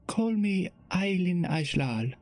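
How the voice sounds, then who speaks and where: A man narrating a story and voicing a poised young princess with an exotic foreign accent who speaks slowly and formally. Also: high-pitched, expressive, darkish tone, faint music behind, pitched above the performer's own voice, full character voice. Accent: exotic foreign lilt. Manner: slow, careful, formal speech.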